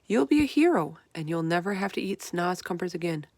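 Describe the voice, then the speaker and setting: A woman's voice: on the low side, lively intonation, brisk, a little mellow, dry recording. A woman voicing a confident, bossy, clever little orphan girl from a storybook, firm and instructing. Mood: reassuring. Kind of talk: storybook read-aloud character voice.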